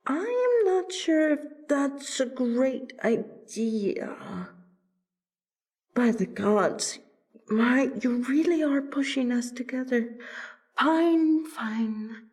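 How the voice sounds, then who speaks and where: A woman playing a flirtatious, courtly vampire royal in an ASMR roleplay, teasing and breathy. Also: fairly high pitch, slow pace, darkish tone, exaggerated voice. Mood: flustered, reluctant.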